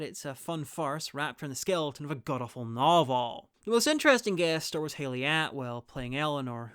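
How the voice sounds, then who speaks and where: A man's voice: on the high side, a bit bright, clean tone. A man narrating a scripted review in a wry, irreverent way. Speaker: reviewer presenting audio drama clips.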